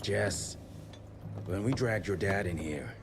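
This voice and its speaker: An actor with an American accent playing a seasoned, folksy frontier fighter in a game, steady and frank. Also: male voice, sits low, slow pace, background noise. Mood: grave, careful. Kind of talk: video game cutscene acting. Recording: loud music behind the voice. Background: loud music.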